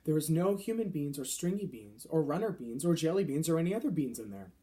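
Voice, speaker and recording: A man voicing a gentle, nervous giant in a children's storybook, speaking softly with quaint muddled grammar and nonsense words. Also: fairly high pitch, big pitch swings, brisk. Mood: polite, defensive.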